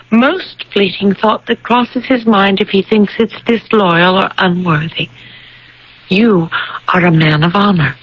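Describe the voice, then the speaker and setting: An actress playing a gentle, insistent young bride-to-be in a vintage radio drama, with deliberate, pleading delivery. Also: female voice, a little high. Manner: slow, deliberate, pleading, repeats phrases. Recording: dull vintage radio broadcast recording.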